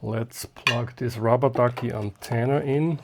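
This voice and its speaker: A man giving an unscripted hands-on demonstration as a hobbyist, talking in short, halting phrases as he works, partly muttering to himself. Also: medium pitch, steady tone, noisy background. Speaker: hobbyist host of radio repair videos.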